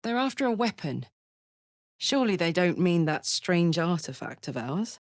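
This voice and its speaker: A woman voicing a brash, warm-hearted tiefling warrior in a video game, with blunt, earthy soldierly talk. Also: lowish voice, big pitch swings, moderate pace, dry recording, clean studio sound. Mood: upbeat. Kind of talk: video game character voice acting.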